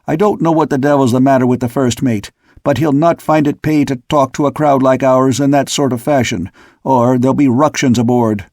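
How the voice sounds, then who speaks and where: A man's voice: mid-range voice, steady tone, normal speed, darkish tone, no echo, studio quality, close to an everyday voice. A man voicing a bold sailor who speaks up to his officers in a sea-story audiobook, urgent and outspoken. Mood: bold, indignant. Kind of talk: audiobook character voice.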